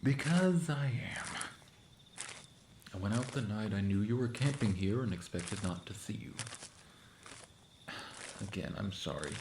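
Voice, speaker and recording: A low male voice , a man acting as a playful prankster monster of the woods in an ASMR roleplay. He talks casually and boastfully, teasing the listener like a friend. The sound is roomy, with clear noise behind it. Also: expressive, measured pace, pauses often. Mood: sheepish, earnest. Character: playful prankster forest cryptid, friendly, mischievous, clawed monster.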